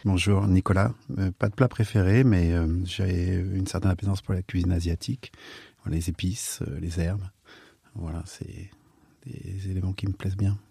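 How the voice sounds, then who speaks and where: French architect guy, low gravelly voice, slow and careful, lots of euh. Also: steady tone, no room sound. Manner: measured, soft, many fillers. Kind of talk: interview answers.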